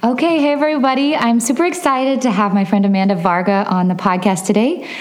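A woman's voice: fairly high pitch, steady tone, brisk, a little mellow. A woman in her thirties from Los Angeles County, hosting a podcast in upbeat, chatty conversation and laughing often. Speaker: podcast host. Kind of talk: casual interview chat.

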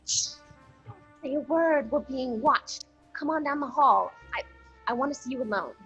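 Squeaky, ditzy girl sleuth. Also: animated, moderate pace, a bit bright, husky, soft background music, full character voice. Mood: conspiratorial, bossy. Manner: breezy, chatty, blithely unconcerned. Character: ditzy, eager, brazen young woman sleuth chasing a story. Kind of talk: comic old-time radio play acting.

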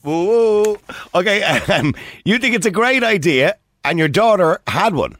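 A man with an Irish accent hosting a phone-in radio talk show, joking with callers and cutting in quickly. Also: sits high, animated, a bit bright. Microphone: studio microphone.

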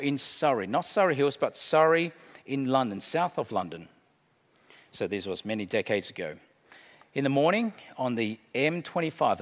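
A middle-aged man preaching a sermon, emphatic, swinging between hushed and loud delivery. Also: mid-range voice. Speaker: pastor. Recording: long hall reverb.

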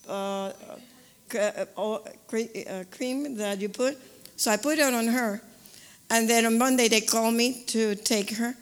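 Halting woman in a reverberant hall. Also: mid-range voice. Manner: halting, searching for words. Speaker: congregation member giving testimony. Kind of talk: personal testimony.

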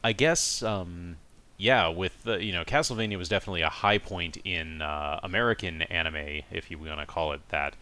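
A man's voice: sits low, moderate pace, pushed and bright. A man co-hosting a casual podcast discussion, explaining his opinions in long, qualified sentences. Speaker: podcast co-host reviewing anime.

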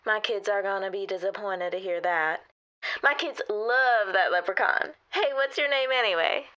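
Chatty small-talk receptionist, familiar and folksy, played by a woman; bright tone. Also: mid-range voice, moderate pace, dull audio, natural voice. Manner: familiar, calls people honey and girl. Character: chatty friendly female receptionist, nosy and folksy. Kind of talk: comedy sketch acting.

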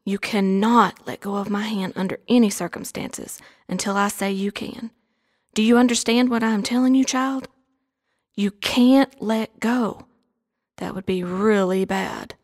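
Storybook aunt, acted by a man: Southern woman in middle age, husky hushed voice, calming yet firm. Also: sits high, measured pace, voice pushed up. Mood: firm, protective. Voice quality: breathy, hushed, often near a whisper.

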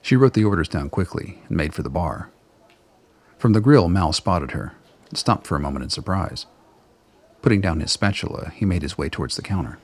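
A man's voice: low-pitched, lively intonation, fairly quick, slightly rough. A man reading scripted third-person narration for a radio play.

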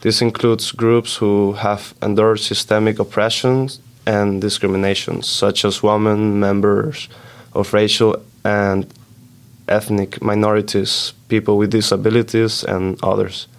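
A man's voice: lowish voice, level tone, a little slow. A young man from Switzerland on a student podcast, reading formal prepared definitions. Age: young adult. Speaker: podcast co-host. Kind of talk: student podcast, explaining terms.

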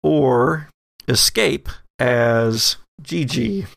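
Creaky-voiced man narrating a horror game. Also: mid-range voice, drawn-out words, a bit bright, no echo, clean studio sound. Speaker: game master.

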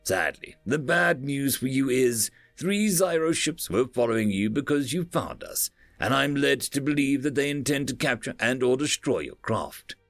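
A man's voice: medium pitch, animated, moderate pace, few pauses, fairly bright tone, no room sound, clean studio sound, soft background music, voice pushed up. A man voicing a self-assured alien ship commander, breezy and smug, addressing a crew in a science fiction audiobook. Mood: breezy, amused.

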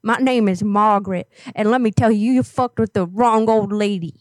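A woman's voice: medium pitch, moderate pace, no room sound, lightly acted. A woman voicing a foul-mouthed, angry old woman prank caller who rambles threats and curses. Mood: furious. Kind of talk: prank phone call.

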